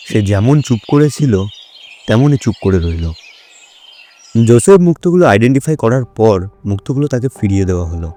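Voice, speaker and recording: A man's voice: lowish voice, dark tone, slight background noise. A man narrating a scripted detective story.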